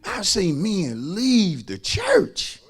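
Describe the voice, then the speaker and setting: American male pastor, high-pitched gravelly voice, fervent sermon delivery with folksy, emphatic repetition. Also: wildly swooping pitch, very slow. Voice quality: raspy, gravelly. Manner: folksy, emphatic, repeats phrases, swings from hushed asides to shouting. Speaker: pastor preaching to a congregation.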